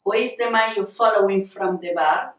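A woman's voice: medium pitch, normal speed, natural voice. An Argentine woman in her late thirties with a Spanish accent, acting a stern, threatening agent in a film audition. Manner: curt, commanding, threatening. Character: stern armed female Israeli agent interrogating a suspect. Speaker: actress auditioning. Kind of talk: acted film audition scene. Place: small bare indoor room. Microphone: camera a few feet away. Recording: echoey room, distant microphone.

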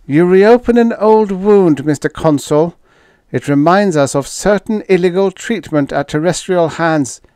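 A man's voice: high voice, unhurried, a little mellow, clear, resonant tone, dry recording, clean studio sound, pitched above the performer's own voice. A man voicing a frail, evasive alien official in an audiobook, with a weak, trembling voice and formal, protesting diplomatic speech. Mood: indignant. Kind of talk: audiobook character dialogue.

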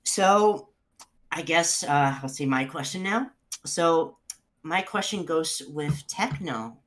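A man's voice: on the high side, lively intonation, takes it slowly. A man chatting casually as a fan putting questions to a panel. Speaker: fan asking questions, crew member. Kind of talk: casual chat.

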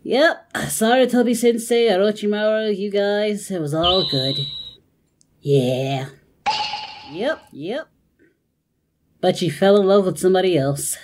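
A woman's voice: mid-range voice, measured pace, long pauses, darkish tone. A woman hosting a reaction video in casual commentary, exclaiming and often repeating her phrases. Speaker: host of a reaction channel.

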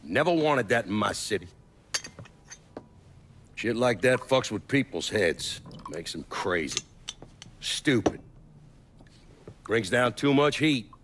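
An actor playing a blunt, weary middle-aged mob boss in a dramatic video game. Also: male voice, medium pitch, animated, slow, long pauses, fairly bright tone, slight background noise. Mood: bitter, brooding.

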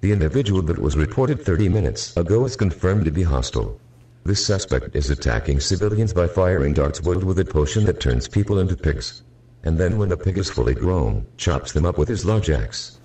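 Low robotic tts guy reading an emergency alert, dull dark tone, monotone, bit far from the mic. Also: fairly quick. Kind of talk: formal emergency broadcast announcement. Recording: distant-sounding playback of a video.

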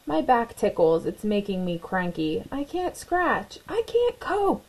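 A woman's voice: mid-range voice, big pitch swings, measured pace, husky, full character voice, poor audio. A woman voicing a conceited, scornful female caterpillar in a storybook, scoffing and haughty. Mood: whining.

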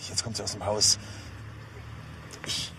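A man's voice: fairly low pitch, little pitch movement, a little slow, background noise, lightly acted. An actor playing a grumbling, flustered policeman on stakeout in a German radio play. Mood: grumpy, flustered. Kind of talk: German radio drama acting.

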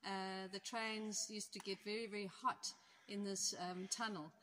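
A woman's voice: medium pitch, fairly even intonation, moderate pace. A middle-aged South African woman vlogging on a hike, chatting and describing her surroundings as she walks. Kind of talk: casual hiking vlog chat. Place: outdoors on a hiking trail, then inside a long dark rail tunnel. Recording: very echoey tunnel, far from mic, loud wind noise.

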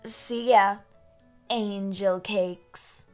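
A woman playing a smug, brassy, catty demon with a taunting drawl in an animated comic dub. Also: medium pitch, extremely slow, faint music behind.

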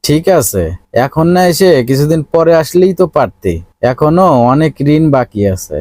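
A man's voice: fairly high pitch, sing-song, normal speed, a little mellow, dry recording. Man voicing a reproachful, swooping cartoon father. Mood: displeased. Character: cold, grasping father of a migrant son. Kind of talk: cartoon voice acting.

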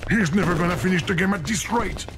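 A man's voice: high-pitched, fast, over music. A man voicing an impatient, exasperated, bossy spirit guide in a video game, dramatic and sardonic. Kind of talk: video game character acting.

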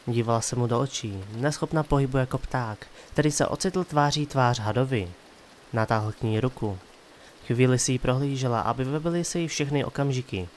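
A man's voice: medium pitch, a little hiss or hum. A man giving scripted dramatic narration of a fantasy story as the narrator of an audio drama. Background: music.

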